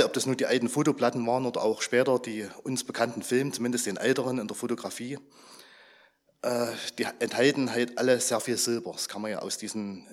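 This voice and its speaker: A slightly hoarse man who is under the weather gives a chatty, informal talk to a gathered congregation and keeps asking them questions. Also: mid-range voice. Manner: chatty, asks the audience questions.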